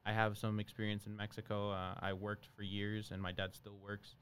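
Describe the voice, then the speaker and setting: A man's voice: on the low side, monotone, normal speed, a bit bright, a little hiss or hum. A young man from Brazil whose first language is Portuguese, a medical student talking earnestly and chattily as a guest. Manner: earnest, chatty with frequent laughs. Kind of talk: casual conversation.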